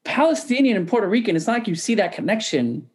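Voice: mid-range voice, animated, fast, darkish tone. A podcast co-host asking a guest questions in a loose, rambling way, with many restarts. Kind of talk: casual interview chat.

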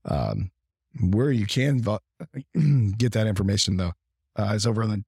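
Male streamer, low husky voice that is wearing out, halting and rambling, very dry close sound. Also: animated, mellow, dry recording. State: losing his voice. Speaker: livestream and podcast co-host. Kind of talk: casual gaming talk.

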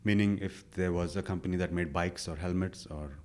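A man's voice: low-pitched, fairly even intonation, fairly quick, darkish tone. A young man from India, a guest in an interview, telling his story casually with many fillers and self-quotes.

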